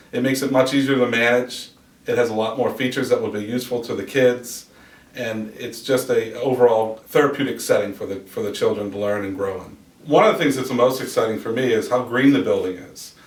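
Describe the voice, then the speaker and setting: A man of middle pitch speaks plainly and evenly, stumbling now and then over a word. He sits a step back from the mic in a room with a clear echo. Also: distant mic. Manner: plain and even, some stumbles. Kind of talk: interview talk.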